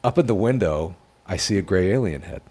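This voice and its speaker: A man's voice: deep voice, lively intonation, a little fast, mellow, breathy edge. A man chatting casually as a guest paranormal investigator, repeating words and stumbling in an emphatic, stammering way. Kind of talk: casual storytelling chat.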